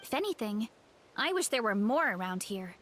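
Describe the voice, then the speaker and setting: A woman playing an earnest, sweet young food-loving heroine in a video game, with a gentle manner. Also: fairly high pitch, big pitch swings, normal speed, slight background noise. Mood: cheerful. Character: earnest, cheerful young woman who loves food and her tribe.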